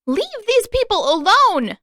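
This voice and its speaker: A woman acts out a determined teenage girl fighter from an adventure audiobook. Her voice leaps high and loud with urgency, shouting desperately, in a dry, close recording. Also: big pitch swings, slow pace, dry recording, full character voice. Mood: urgent, frantic.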